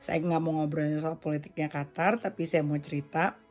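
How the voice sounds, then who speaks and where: A woman's voice: low voice, steady tone, a little fast, a little mellow, faint music behind. A woman hosting a casual solo podcast, chatting conversationally and mixing in English phrases. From Indonesia. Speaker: podcast and vlog host.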